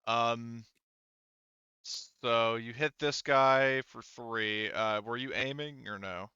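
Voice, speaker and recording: A man speaking casually and haltingly as game master of a tabletop roleplaying game, thinking aloud as he goes. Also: medium pitch, bright, forceful tone, smooth, dry recording, highs cut off.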